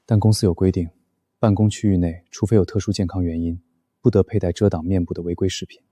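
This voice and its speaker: A man playing a cold, commanding company director in an animated drama, speaking formally with cutting, dry mockery. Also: deep voice, quick pace, breathy edge, no room sound. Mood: cool, testing. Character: cold, commanding, dryly mocking male boss.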